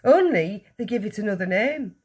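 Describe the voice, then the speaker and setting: A woman voicing a scornful, bossy middle-aged mother in a children's storybook, shrill and scolding. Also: expressive, moderate pace, darkish tone, husky.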